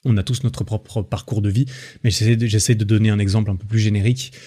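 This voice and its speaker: A young man from France hosting a solo podcast, talking in a relaxed, rambling way with lots of fillers and restarts. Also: lowish voice, fast, breathy edge. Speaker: podcast host. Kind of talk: casual solo podcast monologue.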